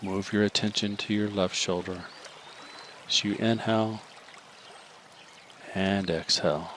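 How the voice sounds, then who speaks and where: Calm, slow-spoken male meditation guide. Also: fairly low pitch, slight background noise. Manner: slow, calm, soft-spoken instructions with long pauses.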